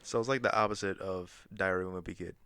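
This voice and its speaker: A man co-hosting a podcast, dropping deadpan one-liners. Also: low-pitched, quick pace, smooth, dry recording, clean studio sound. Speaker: podcast co-host. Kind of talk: casual chat.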